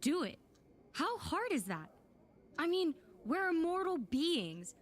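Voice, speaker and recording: A woman's voice: extremely high pitch, sing-song, slow pace, exaggerated voice. A woman playing a feisty, impatient young female warlock in an audio drama, sarcastic and emphatic. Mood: exasperated, scornful.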